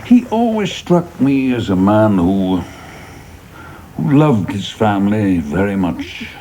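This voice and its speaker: Seasoned official, very low voice, expressive swings, deliberate, probing, gently scornful, radio drama, faint noise. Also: male voice. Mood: quietly pointed. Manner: deliberate, hesitant pauses, rhetorical questioning. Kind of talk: radio play acting.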